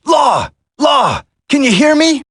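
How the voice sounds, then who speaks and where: A man's voice: very high-pitched, very animated, takes it slowly, fairly bright tone, no room sound, raised voice, studio quality, full character voice. A man voicing an earnest special forces soldier in a video game, dramatic and urgent. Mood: urgent, concerned. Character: earnest male special forces soldier, determined, worried for comrades.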